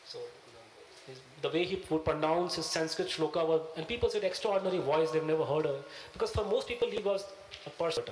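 A man with a Punjabi accent, speaking as an interviewee and recounting events in a halting way as he searches for his words. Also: fairly high pitch, reverberant, slight background noise, low-quality recording. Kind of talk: recounting, conversational.

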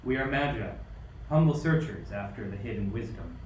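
A man's voice: mid-range voice, normal speed, a little mellow, lightly acted. An actor playing a humble, reverent magus king in a radio play, formal and supplicating. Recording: echoey, distant, background murmur.

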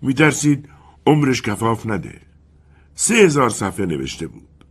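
A restless wind spirit drifts through half-remembered tales, sly and teasing, as a man puts him on. The voice sits higher than the narrator's own, loud and lively, with a husky edge. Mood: musing. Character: restless male wind spirit telling stories.

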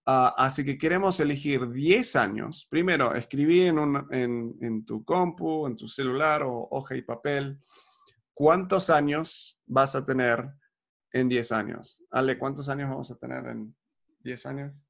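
A man's voice: mid-range voice, unhurried, creaky, a bit bright. A man, coaching in a casual chat, upbeat and peppered with fillers. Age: 40s.

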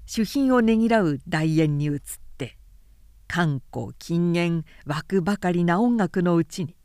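A woman's voice: on the low side, darkish tone, dry recording, clean studio sound. A woman narrating a literary audiobook in a composed, dramatic storytelling manner.

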